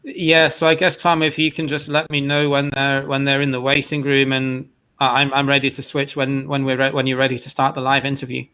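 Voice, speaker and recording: A man's voice: medium pitch, completely flat delivery, fairly quick, pushed and bright, clean tone. A man hosting a virtual trade fair over a video call, chatting casually with plenty of "you know" fillers and restarts. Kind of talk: casual spoken chat.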